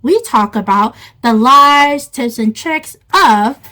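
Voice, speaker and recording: A young American woman in her early twenties with an American accent, opening and chatting on a podcast in a friendly, deliberate way. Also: fairly high pitch, lively intonation, sharp tone. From United States. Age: 20s. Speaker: podcast co-host. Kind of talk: casual chat.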